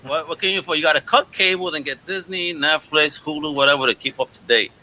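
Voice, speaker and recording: Male podcast host, forceful bright tone, effusive, casual slang, chatting with guests. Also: fairly high pitch, quick pace, slight background noise, rough recording. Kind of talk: casual video podcast interview.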